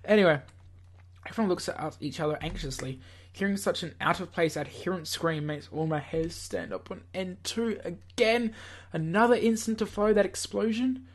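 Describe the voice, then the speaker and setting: A man's voice: high-pitched, normal speed. A man reading a visual novel aloud in a theatrical, slightly stumbling way, as host of a gaming video. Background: game music.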